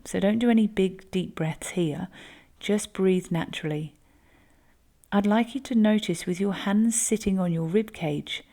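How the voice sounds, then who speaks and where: Woman, calm guided breathing instructor, soft-spoken, mellow, lightly gravelly tone. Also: medium pitch.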